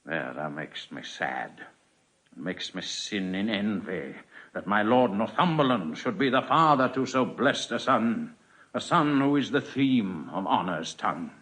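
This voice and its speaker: An actor playing a weary, grave king in classical Shakespearean audio drama, declaiming in a measured, solemn way. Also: on the low side, expressive, fairly bright tone. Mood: wistful, regretful. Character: weary, careworn English king, grave and regal.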